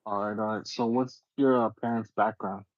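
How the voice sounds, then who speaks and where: A man's voice: fairly low pitch, steady tone, normal speed, dry recording, muffled recording. A man hosting a casual livestream interview, slangy and agreeable, asking questions in a laid-back way.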